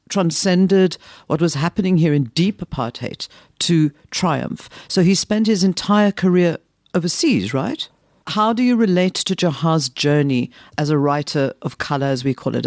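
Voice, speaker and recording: A woman's voice: low-pitched, lively intonation, normal speed, a little mellow, dry recording, clean studio sound. A woman hosting a podcast interview, asking curious questions and slipping Afrikaans words into her English.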